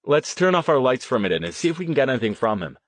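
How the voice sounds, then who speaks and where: A man's voice: medium pitch, sing-song, very fast, no echo, pitched above the performer's own voice. A man voicing a vain, hot-tempered ghost-show host with a projected, theatrical delivery, in an audiobook. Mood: theatrical, calling out.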